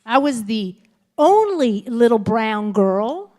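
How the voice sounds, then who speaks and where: A woman's voice: fairly high pitch, slow. Frank keynote woman in her fifties. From California, United States. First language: Spanish. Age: 50s. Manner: frank, lively, talks to the audience directly. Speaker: keynote speaker. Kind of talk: candid motivational speech. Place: school assembly stage.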